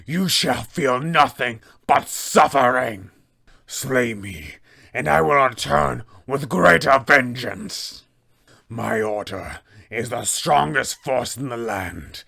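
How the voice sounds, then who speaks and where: Man doing a gravelly undead knight, wrathful. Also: mid-range voice, animated, sharp tone, heavily acted. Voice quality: gravelly, slow menacing delivery. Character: vengeful undead male knight, wrathful and proud.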